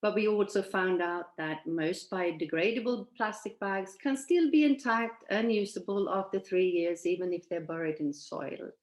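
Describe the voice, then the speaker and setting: A woman's voice: mid-range voice, moderate pace, few pauses, reverberant, rough recording. Swedish-born woman in her sixties presenting. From Sweden. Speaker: presenter at an online event. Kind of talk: presentation from shared slides. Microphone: video call.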